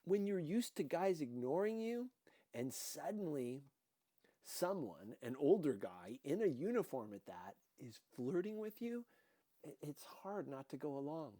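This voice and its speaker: Mellow male audiobook reader. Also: fairly high pitch, sing-song, measured pace, no room sound. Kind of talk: scripted first-person novel narration.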